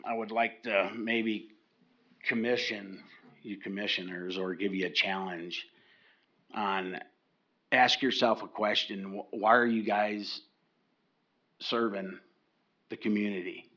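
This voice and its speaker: An older man addressing county commissioners during public comment. He talks slowly and haltingly, restarting and repeating words. His voice sits a bit low and the meeting recording sounds dull and lo-fi. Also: fairly even intonation, pushed and bright.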